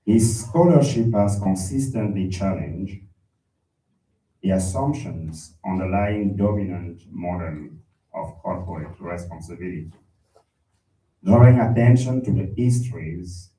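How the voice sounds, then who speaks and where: Slow, deep-voiced man in a roomy hall. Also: mellow. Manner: measured, formal, with hesitant fillers. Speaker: conference chair. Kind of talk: formal speaker introduction. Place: large conference hall. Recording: echoey hall from a distant mic.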